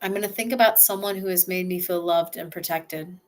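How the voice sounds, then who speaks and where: A woman with a lowish voice leads a relaxation exercise. She talks slowly and softly, narrating her own breathing and movement as she goes, with sighs between phrases. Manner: slow, soft, first-person self-guiding with sighs. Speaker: somatic exercise guide.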